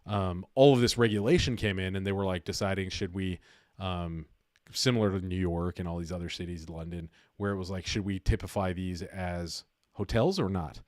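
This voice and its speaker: A man's voice: low-pitched, fairly even intonation, normal speed, a little mellow, no room sound, studio quality. A man hosting a casual livestream, talking informally and thinking aloud as he goes. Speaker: livestream host. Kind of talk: casual livestream chat about investing.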